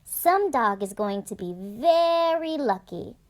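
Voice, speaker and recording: A woman reads as a gentle picture-book mother. She is patient and reassuring, speaking slowly in a fairly high voice. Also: lively intonation.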